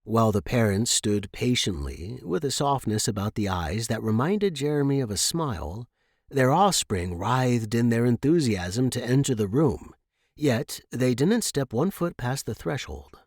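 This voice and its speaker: A man's voice: medium pitch, animated, unhurried, darkish tone, no room sound, studio quality. A man reading a short story aloud as a scripted narration.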